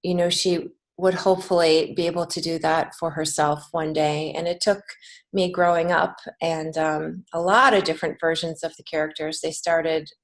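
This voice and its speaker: An American woman talking casually as an interview guest, earnest and reflective, often halting and restarting her thoughts. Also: low voice, little pitch movement. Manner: earnest, reflective, halting with many false starts. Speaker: podcast guest, children's author and creative writing teacher.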